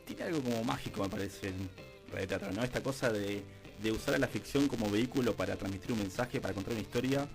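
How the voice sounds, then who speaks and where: A man with an Argentine accent, a guest in a radio interview, explaining things in a measured conversational way. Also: on the low side, gravelly, faint music behind, poor audio. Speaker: radio drama producer and guest.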